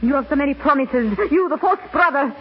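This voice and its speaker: An actress playing an anxious, passionate princess, urging and accusing with imperious melodrama in a 1930s radio drama. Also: female voice, sits high, fairly quick, noisy background, close to an everyday voice. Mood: betrayed fury. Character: anxious, passionate princess, devoted wife and accomplice. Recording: muffled 1930s radio recording, clear music.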